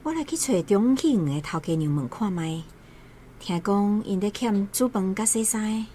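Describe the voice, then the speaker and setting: A woman's voice: medium pitch, animated, moderate pace, slight background noise, lightly acted. An actress playing a stoic, loving village mother in a radio drama, homely and earnest, with a Taiwanese accent. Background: soft guitar music.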